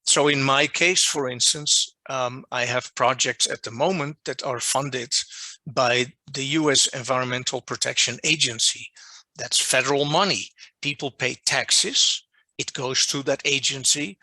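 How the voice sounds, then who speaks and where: A man with a Dutch accent, a non-native English speaker, giving an online lecture as a journal editor and restating his points deliberately over a video call. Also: mid-range voice, pushed and bright, dry recording. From Netherlands. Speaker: journal editor presenting a workshop. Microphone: video call microphone.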